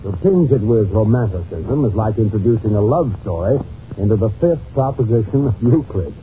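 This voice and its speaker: Deliberate male reader for radio drama played by an actor, measured delivery, narrow muffled old recording, noisy. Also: on the low side, animated, lightly acted.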